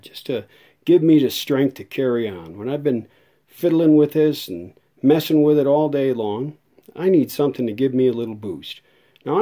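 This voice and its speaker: Casting: American male hobbyist vlogger, dark mellow voice, mid pitch, folksy casual delivery. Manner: folksy, conversational. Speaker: hobbyist radio restorer and video host. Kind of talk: casual vlog chat to viewers.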